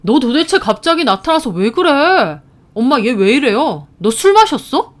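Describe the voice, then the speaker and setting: A woman's voice: medium pitch, expressive, slow. A woman voicing a brusque, defensive older brother with a blunt manner in a dramatized story. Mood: irritated, dismissive. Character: brusque, dismissive older brother, grown man.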